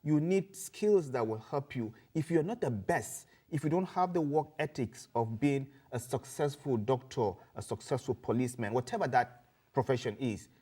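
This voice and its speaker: A man's voice: medium pitch, normal speed, dark tone, breathy edge. A man from Nigeria with a Nigerian accent, a guest in a casual interview, talking earnestly and often repeating words as he searches for them.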